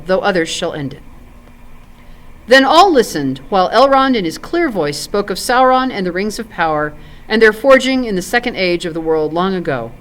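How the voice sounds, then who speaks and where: A woman reading a storybook narration aloud as a volunteer, in an expressive, measured literary manner. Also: mid-range voice, poor audio.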